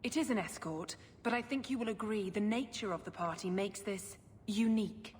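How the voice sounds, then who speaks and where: Secretive middle-aged sister, fantasy game, female actor. Measured, formal, coolly persuasive; mid-pitched, long reverb. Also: lightly acted. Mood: guarded, urgent. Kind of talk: video game voice acting. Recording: long hall reverb, clear background noise.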